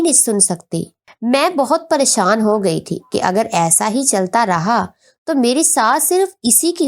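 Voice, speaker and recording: High-pitched woman narrating a story. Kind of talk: scripted first-person narration of an emotional family story.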